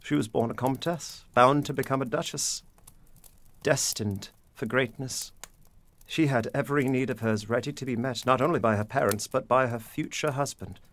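An actor playing a weary widowed father in a period audio drama, speaking earnestly and haltingly in formal phrasing. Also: medium pitch, a bit bright, natural voice. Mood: wistful.

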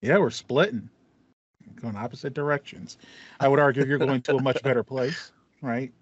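An American man co-hosts a casual gaming chat in a playful, slangy manner. His voice sits at a middle pitch. The home recording is very dry and slightly muffled. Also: normal speed, no echo. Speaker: podcast co-host. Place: small home room with acoustic foam, indoors.

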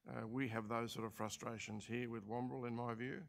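An older Australian man chairing a council meeting as administrator, speaking in a halting way with many fillers and restarts, into a desk mic in a large council chamber. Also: mid-range voice, level tone, gravelly. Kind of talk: formal meeting remarks.

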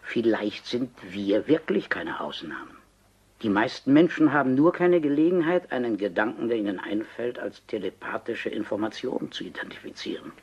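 Radio drama role: older male retired civil servant, husky, pedantic, eager to lecture in formal, exact phrases. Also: mid-range voice, big pitch swings, moderate pace, muffled recording. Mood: earnest, lecturing. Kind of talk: German radio crime drama dialogue.

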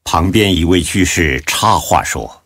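A man with a deep, husky voice giving solemn, measured and expressive scripted narration of a Buddhist audio drama. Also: no room sound, clean studio sound.

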